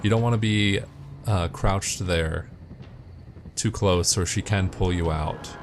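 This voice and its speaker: Low-pitched man narrating a game walkthrough. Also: takes it slowly, noisy background. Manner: matter-of-fact, step-by-step. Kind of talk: instructional gameplay commentary.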